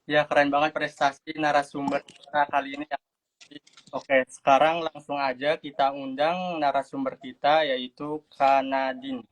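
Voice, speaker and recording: A man's voice: a little high, flat delivery, normal speed. Young man from Tegal hosting a podcast. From Tegal, Central Java. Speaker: podcast co-host.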